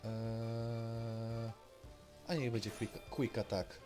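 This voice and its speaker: A man's voice: medium pitch, completely flat delivery, slow pace. A man with a Polish accent giving casual, muttered commentary while he plays a video game. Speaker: streamer playing a game. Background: game music.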